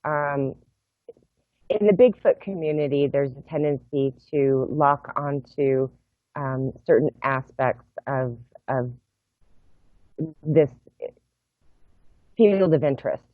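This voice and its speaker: Middle-aged woman on a tinny video call, measured, lots of uhs, dry sound. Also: a bass voice, no echo. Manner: measured, thoughtful, with frequent uhs. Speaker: guest, project co-founder. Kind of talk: explaining in an interview. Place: home room. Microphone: laptop webcam mic on video call.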